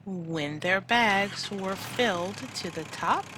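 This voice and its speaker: A woman teacher reading a children's picture book aloud in an expressive, deliberate way, leaning on key words. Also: medium pitch, background noise. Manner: deliberate, dramatized phrasing with emphasized words.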